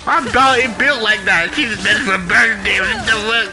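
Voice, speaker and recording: A man's voice: sits high, moderate pace. A man live-streaming a video game, reacting casually in slangy, profane, exclamatory talk. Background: game music.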